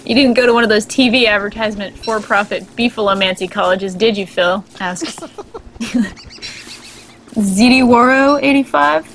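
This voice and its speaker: A woman's voice: a little high, moderate pace, a bit bright, slight background noise, low-quality recording. A woman with a Boston accent, co-hosting a casual game stream, joking and laughing often as she explains game lore. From Boston area. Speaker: co-host. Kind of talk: casual stream chat over gameplay.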